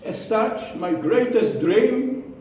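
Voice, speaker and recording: A man with an Israeli accent giving a prepared speech to a club, slow and deliberate, with pauses and repeated words. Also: high-pitched, lively intonation, warm tone, slight background noise. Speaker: club speaker. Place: meeting room. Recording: echoey room from far off.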